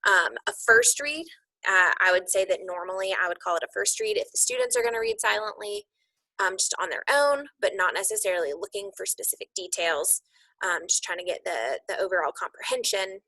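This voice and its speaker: A woman's voice: mid-range voice, big pitch swings, a little fast, creaky, pushed and bright, dry recording, low-quality recording. A woman giving an informal, conversational instructional walkthrough, explaining things as a teacher would to colleagues. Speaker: teacher coach explaining lesson plans to colleagues.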